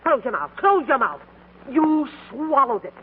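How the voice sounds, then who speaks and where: High-voiced American female imperious, fussy store inspector, curt, animated pitch; tinny old radio comedy. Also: unhurried, slightly rough, exaggerated voice. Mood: stern, accusing. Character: stern, fussy, officious undercover store inspector woman. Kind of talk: 1940s radio comedy acting. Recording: tinny, noisy 1940s radio recording.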